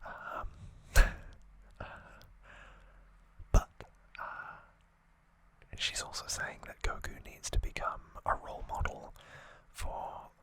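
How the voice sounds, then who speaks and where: An ASMR host whispering a recap of trivia in a breathy, unvoiced voice, with halting, informal asides. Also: a bit bright.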